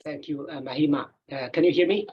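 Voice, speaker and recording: A man with an Ethiopian accent gives a webinar talk over a video call, his voice fairly high for a man and husky. He speaks in a halting way, filling pauses with "uh". The call audio sounds muffled and dull. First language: not English; English is his third language. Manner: halting, with frequent filler sounds. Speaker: endocrinologist presenter. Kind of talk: webinar presentation.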